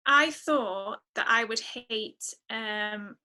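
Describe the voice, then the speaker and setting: Woman, high bright voice, casual chat, chatty and emphatic. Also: drawn-out words. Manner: chatty, emphatic, thinks aloud. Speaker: podcast guest, singer-songwriter.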